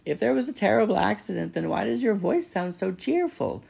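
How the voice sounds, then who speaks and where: A man's voice: sits high, big pitch swings, a little fast, creaky, a little mellow, husky, pitched above the performer's own voice, full character voice. Male reader as elderly mother goose, storybook animal, squawking, bossy, emphatic, swooping intonation. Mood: suspicious, scolding. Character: old gray goose, brisk, scolding, bossy mother female.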